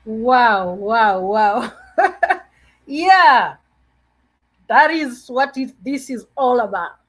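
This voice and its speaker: A woman hosting a group motivational talk in an expressive, effusive manner. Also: fairly high pitch, extremely slow. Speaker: host of a group online meeting.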